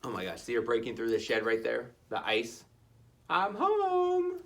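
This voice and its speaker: A reader narrating a children's story in an expressive, playful way, with chatty asides to the listeners. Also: medium pitch, fairly quick, gravelly.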